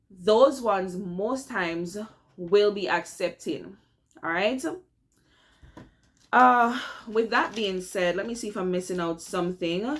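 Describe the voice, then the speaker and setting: A young woman with a Jamaican accent, chatting casually to her viewers while giving tips on landing online teaching work. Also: mid-range voice. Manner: chatty, addresses viewers as "guys", repeats "all right?". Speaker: vlogger giving career tips. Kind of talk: casual advice vlog to camera.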